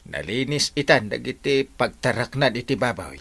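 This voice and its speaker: A man with an Ilocano accent acting an excitable village captain in a comedy radio drama, full of drawn-out exclamations. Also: mid-range voice, vocal fry, breathy edge, heavily acted. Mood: cheerful, emphatic.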